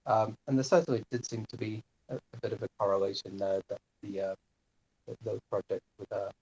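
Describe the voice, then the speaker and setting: A man's voice: on the low side, flat delivery, brisk, darkish tone, husky, lo-fi sound. A man informally reporting his findings in an online audio discussion, polite and hesitant, often hedging as he explains. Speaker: participant presenting his own research. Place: live online audio chat room.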